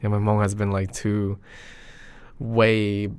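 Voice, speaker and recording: A man's voice: lowish voice, monotone, slow pace, a little mellow, clean tone. A young man hosting a casual podcast, chatting in an informal, slangy, teasing way. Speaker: podcast host.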